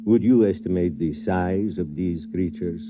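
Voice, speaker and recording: A man acting in an old radio drama as a coolly confident scientist doctor, speaking in a formal, deliberate, courteous way. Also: deep voice, noisy background. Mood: measured, leading. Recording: old radio broadcast, dull band-limited sound.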